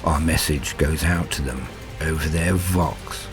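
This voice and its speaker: A man voicing an ancient, proud and cruel warrior who narrates battle in a grandiose, measured, menacing way. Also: rumbling low, faint music behind, voice pushed down. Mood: proud, contemptuous. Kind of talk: dramatic first-person war storytelling.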